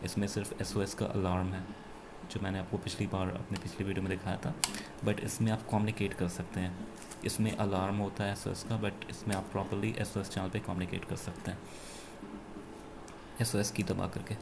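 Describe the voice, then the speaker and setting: A man's voice: low voice, flat delivery, brisk, vocal fry, noisy background. A man with an Indian accent gives a casual, unscripted product review, explaining things and mixing English phrases into his speech. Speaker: gadget reviewer.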